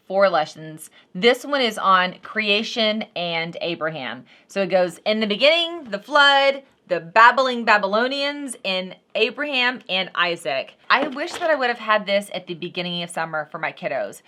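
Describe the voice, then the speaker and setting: A woman's voice: medium pitch, sharp tone. A woman in her thirties giving a casual, unscripted product review as a vlog host, talking to viewers in an informal, folksy way.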